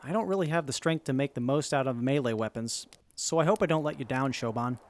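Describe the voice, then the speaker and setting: A man's voice: medium pitch, fast, lightly acted. A man playing an earnest, unsure wasteland survivor in an audio drama, speaking politely. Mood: earnest, self-doubting. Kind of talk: post-apocalyptic audio drama acting.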